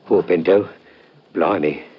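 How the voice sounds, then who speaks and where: An actor playing a humble working-class London lodger with a Cockney accent and a husky voice in a 1950s radio drama. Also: male voice, sits low, slow, lo-fi sound, noisy background. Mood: earnest, deferential. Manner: humble, plain-spoken, deferential. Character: working-class London lodger, deferential male murder witness.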